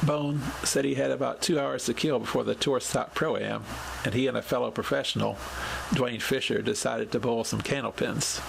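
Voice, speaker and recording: Male podcast host, heavily creaky voice, measured scripted storytelling, audible noise behind. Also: mid-range voice, steady tone. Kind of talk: scripted narration, reading a written account.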